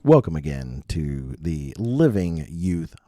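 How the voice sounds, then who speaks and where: A man's voice: rumbling low, very animated, takes it slowly, over loud music. A man co-hosting a casual religious youth podcast in a chatty, self-deprecating way, full of asides, getting over a mild cold. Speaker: podcast co-host.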